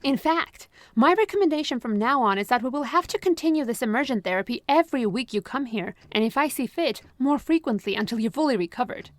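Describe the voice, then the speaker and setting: A high, honeyed voice of a possessive therapist who controls her patient with calm sweetness, as a woman acts her out. The sound is close and dry, with no room around it, like an intimate ASMR roleplay. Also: fast talker, hardly any pauses, no room sound. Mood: smooth, controlling. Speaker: voice actress.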